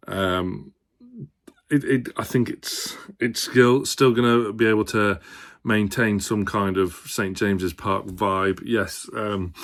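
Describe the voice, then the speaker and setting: A man's voice: fairly low pitch, steady tone, takes it slowly. A man presenting on a football fan channel, talking casually to viewers and thinking aloud as he gives his opinion. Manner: hesitant, thinking aloud, hedging.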